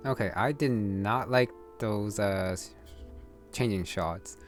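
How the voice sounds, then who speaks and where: A man's voice: on the low side, slow pace, soft background music. A man giving casual, off-the-cuff commentary on a video game in a hesitant, rambling way.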